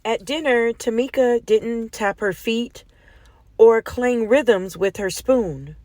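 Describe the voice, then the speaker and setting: A woman reading a children's picture book aloud in an expressive storytime style. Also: fairly high pitch, takes it slowly, dry recording. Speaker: storytime reader.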